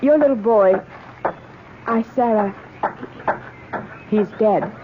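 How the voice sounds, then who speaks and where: An actress playing an anxious, guilt-ridden wife in an old-time radio drama, earnest and halting in her speech. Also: medium pitch, natural voice. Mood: guilty, anxious. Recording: muffled, noisy old radio recording.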